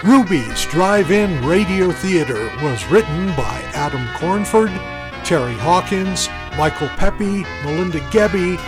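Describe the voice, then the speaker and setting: Guy reading radio show credits, voice a bit high, music clearly behind him. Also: lively intonation, measured pace. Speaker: closing credits announcer.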